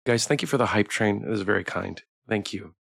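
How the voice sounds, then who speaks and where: Creaky-voiced man streaming a game. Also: fairly low pitch, brisk, fairly bright tone. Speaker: livestreamer, game playthrough host. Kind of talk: live game stream, reading story text aloud with chat asides. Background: background music.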